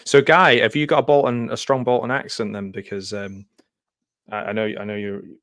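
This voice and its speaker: A man's voice: mid-range voice, flat delivery, moderate pace, fairly bright tone, no echo, clean studio sound. A young man with a Stockport accent from Greater Manchester, chatting casually and joking while hosting a film commentary. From England. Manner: chatty, jokey, laughs often. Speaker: commentary host.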